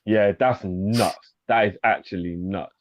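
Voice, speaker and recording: Male co-host, lowish voice, slangy and laughing, dry dull lo-fi mic. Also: steady tone, unhurried, no room sound. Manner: slangy, laughs often, says bro a lot. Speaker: fan channel co-host. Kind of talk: casual live call-in chat.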